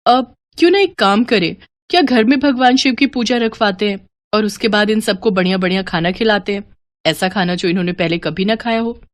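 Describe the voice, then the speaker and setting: A woman acts a young daughter-in-law in a cartoon moral tale. She is sweet and coaxing, with a quietly scheming insistence. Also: mid-range voice, fairly quick, close to an everyday voice. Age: young adult. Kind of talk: animated moral story voice acting.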